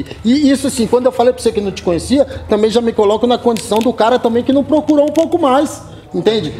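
Slangy high-voiced rapper guest. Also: male voice, a little mellow, slight background noise. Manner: slangy and loose, many restarts and "tá ligado". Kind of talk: casual panel chat. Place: community center room, seated on sofa with panel.

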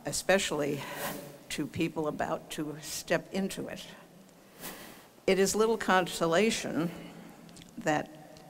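An older woman giving a formal commencement speech from an auditorium podium, telling stories from her life in a measured way. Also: low voice, vocal fry, slight background noise. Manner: measured, anecdotal storytelling. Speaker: commencement speaker. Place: large auditorium stage. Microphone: podium mic on stand.

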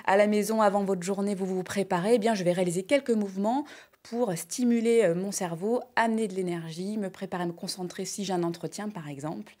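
A woman in her thirties guides viewers through simple exercises on a morning TV show. Her delivery is clear and upbeat, and she lists points in an even, steady rhythm. She sounds close and clean through a lapel mic in a bright studio. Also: medium pitch, fairly quick. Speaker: health and wellness columnist. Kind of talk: morning TV segment, explaining and demonstrating.

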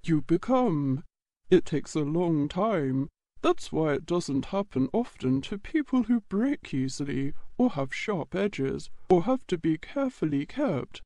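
A woman voicing a kindly, truthful old male toy horse in a storybook, explaining gently and patiently. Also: sits low, big pitch swings, unhurried, no echo, voice pushed down, heavily acted. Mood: gentle, wise. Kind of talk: storybook audiobook character reading.

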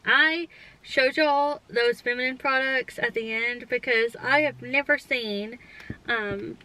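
A woman's voice: high-pitched, pushed and bright, slight background noise, poor audio. A woman in her thirties with a Southern US accent, chatting casually about her shopping haul in a parked car. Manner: chatty, friendly, addresses viewers as y'all. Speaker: vlogger. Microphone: camera on dashboard.

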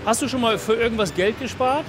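A man's voice: very high-pitched, fast. A middle-aged man, interviewing children as an encouraging, upbeat street reporter. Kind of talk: friendly vox-pop interviewing of children. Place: outdoors on a city street in rainy weather. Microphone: handheld mic with windscreen. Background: music, street and traffic sounds.

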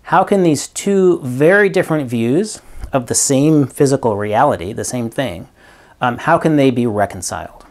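A man's voice: mid-range voice, animated, normal speed. A middle-aged man gives a physics lesson to a webcam in a small room. He talks it through step by step and thinks aloud with ums as he goes. His voice sits in an ordinary middle range. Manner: explains with stuffed animals and a toy barn, thinking aloud with ums. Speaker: physics professor. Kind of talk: recorded video lecture with props. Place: small room in front of a green wall.

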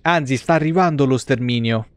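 Male streamer, Roman accent, clear resonant voice; loose, colloquial, swear-heavy play-by-play from a home setup. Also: medium pitch, fairly quick, no echo. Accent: Roman Italian. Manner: foul-mouthed, rambling, colloquial. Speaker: game streamer. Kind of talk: casual live gameplay commentary. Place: small indoor streaming room.

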